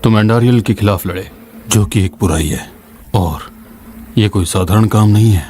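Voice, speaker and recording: An actor playing a wise, weary old scholar in a fantasy game dub, husky, solemn and reflective. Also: male voice, on the low side, moderate pace, a little mellow, a little hiss or hum, lightly acted. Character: wise, weary old male scholar mentoring a younger ally. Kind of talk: dramatic fantasy game narration.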